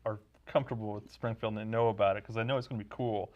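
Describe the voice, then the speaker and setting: A man's voice: on the low side, steady tone, racing, dull audio. A man co-hosting an interview show with casual, joking chat and a readiness to laugh.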